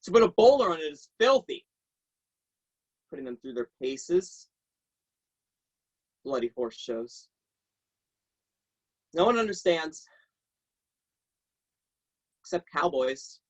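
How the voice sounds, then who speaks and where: A young man playing a troubled, impassioned teenage boy in a halting, emphatic theatrical monologue. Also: medium pitch, poor audio. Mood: bitter longing. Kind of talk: theatrical monologue, staged reading. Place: remote video call.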